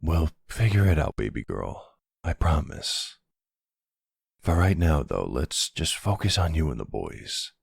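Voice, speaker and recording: Guy doing an older mafia boyfriend for asmr, super low gravelly voice, slow n gentle, comforting. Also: big pitch swings, no room sound, studio quality, heavily acted. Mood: soothing, vulnerable. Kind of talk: intimate ASMR boyfriend roleplay.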